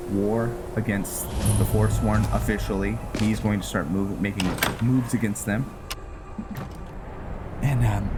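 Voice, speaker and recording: A man giving casual gameplay commentary mixed with story narration, halting and thinking aloud as he goes. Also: fairly low pitch. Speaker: host of a gaming roleplay series. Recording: music behind the voice. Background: music.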